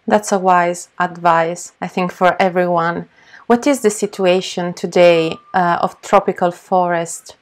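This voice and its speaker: A young woman with an Italian accent, slowly and carefully reading interview questions on a video call. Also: on the low side, fairly even intonation. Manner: slow, deliberate. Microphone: webcam on a video call.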